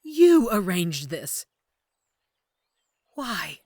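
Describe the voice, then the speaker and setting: A woman's voice: on the low side, very animated, drawn-out words, dark tone, dry recording, clean studio sound, full character voice. A woman playing a haughty, treasonous older queen and mother in a fantasy audio roleplay, imperious and indignant in manner. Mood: indignant, commanding.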